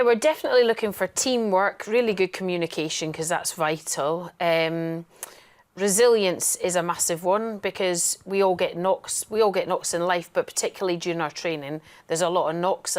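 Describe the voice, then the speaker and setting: A woman speaking as an instructor in a conversational interview, emphatic and encouraging. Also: lowish voice. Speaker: air traffic control instructor.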